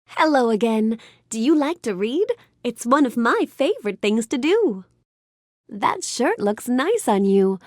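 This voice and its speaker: A woman's voice: sits high, no echo, clean studio sound. A woman voicing a sweet, polite, bookish young fairy-tale heroine in a video game, gracious and full of earnest wonder. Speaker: video game character voice actress. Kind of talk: animated game character lines addressed to the player.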